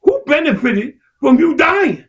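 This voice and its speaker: A man in heated casual discussion, impassioned and profane, his voice rising to shouts. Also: extremely high pitch, big pitch swings, measured pace, highs cut off. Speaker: podcast co-host.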